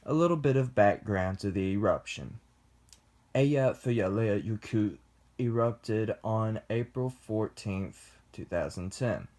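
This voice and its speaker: Slow, deliberate young man presenting. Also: lowish voice, lively intonation, a little mellow. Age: young adult. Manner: slow, deliberate reading with pauses. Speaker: student presenter. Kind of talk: class presentation read from script.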